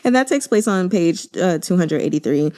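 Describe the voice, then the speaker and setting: A woman hosting a book channel, giving casual commentary in a gushing, expressive manner. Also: on the low side, normal speed. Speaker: host of a book reading channel.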